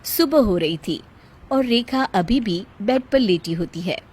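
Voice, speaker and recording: A woman's voice: mid-range voice, expressive, a little slow, a little mellow, breathy edge. A woman narrating a scripted family story as its storyteller.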